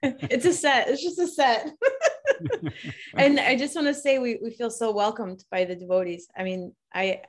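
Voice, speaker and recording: A woman co-hosting a podcast in a casual chat, who speaks warmly and gushingly, laughs easily, and uses frequent fillers. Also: a little high, fairly even intonation, moderate pace. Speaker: podcast co-host.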